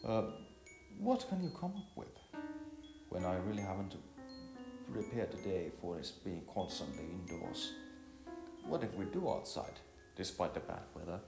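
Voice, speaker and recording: A man voicing a concerned, gentle young woman in a storybook, with a hesitant, questioning manner. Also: deep voice, sing-song, a little slow, creaky, pauses often, reverberant, over music, exaggerated voice. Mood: tentative.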